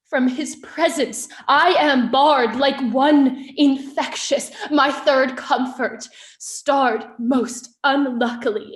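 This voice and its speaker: A woman playing a wronged queen on trial in an impassioned, deliberate Shakespearean stage monologue. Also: sits high. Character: wronged queen on trial, grieving yet defiant. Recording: roomy, echoing space.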